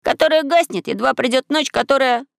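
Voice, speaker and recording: A woman voicing an earnest, curious and bold seven-year-old boy in a storybook, asking clear, insistent questions. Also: sits high, a little fast, no echo, studio quality, pitched above the performer's own voice. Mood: bold, ringing.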